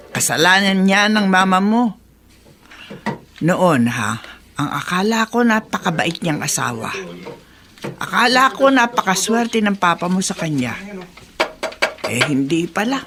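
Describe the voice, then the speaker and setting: An elderly grandmother in a radio drama, chatty and exclamatory. Her pitch swings in a lively way as she fusses and scolds. Clear noise and clicks sit behind the voice. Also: slow pace, long pauses. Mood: bitter, reminiscing. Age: older. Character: talkative elderly Filipina grandmother, caring but resentful. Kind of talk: Tagalog radio drama acting.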